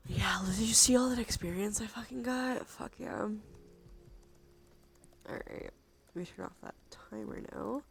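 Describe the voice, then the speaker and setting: A woman hosting a cozy ASMR livestream, chatting casually in a soft, breathy, often half-whispered voice with a congested nose, in a laid-back, rambling way. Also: mid-range voice, measured pace, long pauses. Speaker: livestream host. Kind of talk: cozy ASMR stream, casual chat to viewers. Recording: clear background noise, ambient sound.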